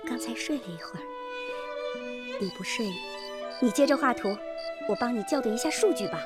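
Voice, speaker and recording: Lady playing a fond fussy wife in a radio drama, high voice, music clearly behind her. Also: animated, fairly quick, lightly acted. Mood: tender. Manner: fond, fussing. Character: caring, gently scolding wife of an engineer. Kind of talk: Chinese radio drama acting.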